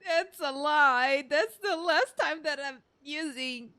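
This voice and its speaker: A woman's voice: high voice, takes it slowly, sharp tone. A woman streamer giving casual, playfully dramatic game reactions to her chat, often repeating phrases. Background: game music.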